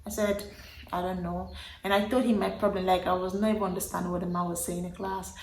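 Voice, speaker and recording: Young woman, Nigerian accent, casual storytelling, animated and emphatic, roomy echoey recording. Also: lowish voice, quick pace, a little hiss or hum. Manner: animated, emphatic retelling with repeated phrases. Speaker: vlogger. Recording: roomy echo.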